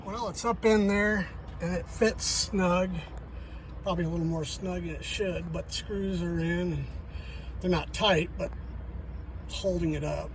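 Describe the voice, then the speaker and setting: A middle-aged man talking through a DIY job in a casual, halting, plain-spoken way, thinking out loud. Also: sits high, fairly even intonation, background noise. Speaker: truck driver, DIY vlogger.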